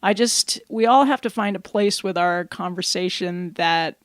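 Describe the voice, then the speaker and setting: A woman's voice: on the low side, a little slow, no echo. A middle-aged woman co-hosting a community radio show, chatting casually, rambling and laughing often. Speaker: radio co-host. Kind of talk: casual chat. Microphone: studio microphone.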